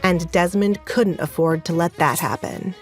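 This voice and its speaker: A woman's voice: lowish voice, measured pace. Woman narrating over prominent music. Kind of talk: scripted dramatic story narration.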